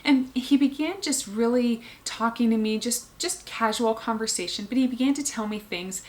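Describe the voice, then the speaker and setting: A woman, a homeschooling mother talking casually to camera in an earnest way, thinking aloud with frequent ums and pauses. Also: fairly high pitch.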